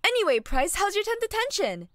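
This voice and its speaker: A woman's voice: extremely high pitch, big pitch swings, brisk, fairly bright tone, dry recording, studio quality, heavily acted. A woman playing a bubbly, teasing young food lover in an audio drama, playful and exclamatory.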